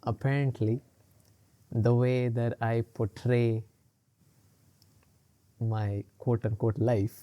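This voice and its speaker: Male podcast co-host, mid-pitched and mellow, loose rambling chat full of fillers and laughs. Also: little pitch movement, slow, long pauses. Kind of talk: casual chat.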